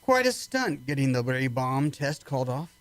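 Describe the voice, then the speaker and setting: A man acting a calm, skeptical military doctor in an amateur radio play. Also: medium pitch, moderate pace, no room sound, lightly acted. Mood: musing, curious.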